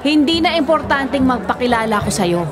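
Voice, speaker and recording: An actress playing a domineering, jealous older ex-wife in a Filipino radio drama, scolding and accusing with scorn. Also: female voice, high-pitched, expressive, brisk, crowd noise. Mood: angry, scornful. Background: music.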